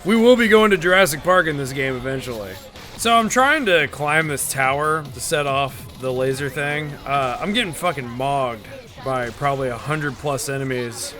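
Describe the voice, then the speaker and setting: A man's voice: mid-range voice, moderate pace, pushed and bright, soft background music. A young man giving casual, sarcastic gameplay commentary as a voice-over, swearing often and sounding fed up. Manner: profane, sarcastic and exasperated. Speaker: gaming video host.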